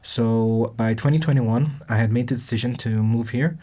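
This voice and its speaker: A guest from Lebanon with a mellow, dark-toned voice, speaking on a podcast. The story unfolds step by step in a measured way. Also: mid-range voice. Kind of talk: podcast interview.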